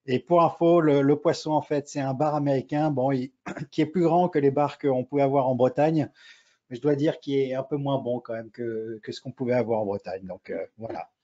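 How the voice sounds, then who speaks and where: Mellow bantering man on a video call. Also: mid-range voice, a little fast. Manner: relaxed, bantering. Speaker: presenter. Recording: dull lo-fi call audio.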